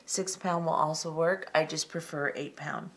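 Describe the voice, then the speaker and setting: A middle-aged woman hosting a step-by-step beading tutorial, talking calmly and methodically with frequent ums. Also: sits low, normal speed. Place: tabletop craft workspace, indoors.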